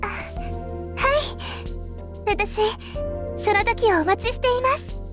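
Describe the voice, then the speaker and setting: Very high-pitched shy schoolgirl. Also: takes it slowly. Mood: earnest. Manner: hesitant, polite, gentle. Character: shy, soft-spoken, earnest schoolgirl underclassman, worried and polite. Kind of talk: Japanese visual novel voice acting. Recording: music behind the voice.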